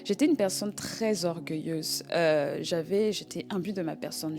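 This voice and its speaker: Congolese-born woman telling her faith story, kinda low voice, halting and repeats words, faint music behind. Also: warm tone. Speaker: guest giving a testimony.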